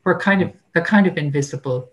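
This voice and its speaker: A woman in her sixties with a Dublin Irish accent, an author answering interview questions thoughtfully in her home study, pausing and restarting often. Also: low voice, crowd noise. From Ireland. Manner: thoughtful, many fillers and restarts, light laughs. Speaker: author and guest. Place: home study with bookshelves, indoors. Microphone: laptop webcam on a video call.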